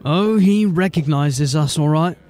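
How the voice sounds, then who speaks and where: A man's voice: on the high side, unhurried, darkish tone, faint music behind, natural voice. A man playing a blunt, wry city watch officer in a fantasy audio drama. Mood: scornful.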